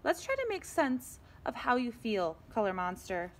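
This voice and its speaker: A woman's voice: fairly high pitch, big pitch swings, moderate pace. A woman reading the part of a kind, helpful little girl from a picture book, in a caring, encouraging way.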